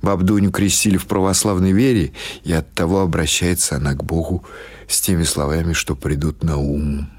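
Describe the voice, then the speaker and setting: A man reading literary prose as an audiobook narrator, in a measured, expressive storytelling manner. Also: sits low.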